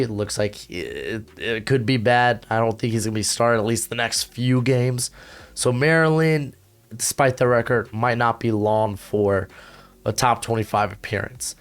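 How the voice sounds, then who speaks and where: A hoarse young man hosting a casual college football rankings show from home, talking in an energetic, slangy way with lots of fillers. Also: mid-range voice. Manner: energetic, slangy, rapid asides with frequent fillers. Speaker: college football analyst and channel host. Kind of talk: casual rankings commentary to camera. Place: home recording setup with a humidifier.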